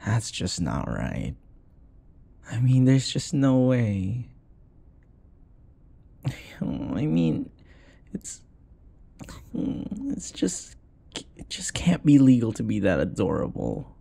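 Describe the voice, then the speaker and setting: A man acts out an affectionate young boyfriend in an intimate audio roleplay. His voice is breathy and gravelly, murmured softly and tenderly. He takes his time, teasing his partner in a gentle, comforting way. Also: lowish voice, exaggerated voice. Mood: smitten, teasing.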